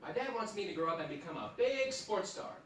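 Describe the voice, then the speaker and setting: A man's voice: sits high, very animated, a little fast. A man playing an ambitious eleven-year-old boy who loves sports, reading a letter in a live stage radio comedy. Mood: earnest, businesslike. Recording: very echoey hall, far off, noisy.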